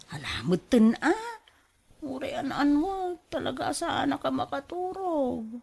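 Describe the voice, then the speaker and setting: A woman's voice: high voice, very animated, unhurried, vocal fry, a little mellow, exaggerated voice. A woman acting as a restless, nagging wife in a radio drama, full of sighs and exclamations. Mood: restless, fretful. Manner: exclamatory, sighing, querulous. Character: restless, nagging wife fretting and bickering with her husband.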